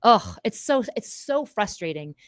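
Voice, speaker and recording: A woman's voice: mid-range voice, measured pace, no room sound, clean studio sound. A woman from Canada, a political commentator guest, talking earnestly and casually with frequent "like.". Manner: earnest, lively, says "like" often.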